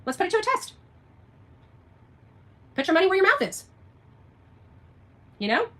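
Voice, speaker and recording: A woman's voice: extremely high pitch, very animated, normal speed, pushed and bright. A woman hosting an unscripted livestream rant, arguing with viewers in a combative, emphatic way, repeating phrases and firing off rhetorical questions. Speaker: livestream host responding to viewer comments.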